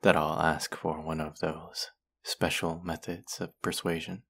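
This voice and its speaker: A man performs a smug young man in an audio roleplay, the condescending twin brother. His voice is very low and gravelly, with slow, taunting drawls. He talks straight at the listener in a mocking, teasing way. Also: level tone, fairly bright tone, heavily acted. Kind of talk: scripted roleplay addressed to listener.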